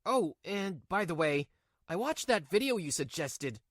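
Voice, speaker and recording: A woman's voice: on the low side, big pitch swings, measured pace, no echo, studio quality. A woman voicing a selfish, irritable cheating husband with a dismissive manner in a manga dub. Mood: cheerful. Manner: dismissive, snappy when challenged. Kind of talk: manga dub character acting.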